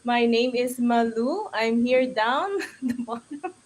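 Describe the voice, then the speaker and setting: A woman's voice: a little high, lively intonation, takes it slowly, a little hiss or hum. A woman with a Filipino accent speaking as a guest on a livestream, giving an informal motivational talk in a chatty, self-deprecating way with questions to listeners. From Philippines.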